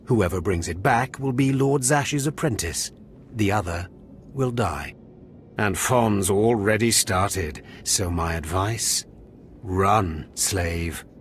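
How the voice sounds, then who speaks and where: A man's voice: lowish voice, slow pace, slight background noise. An actor voicing a harsh male overseer of a Sith academy, contemptuous and commanding. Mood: scornful. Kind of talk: game voice acting.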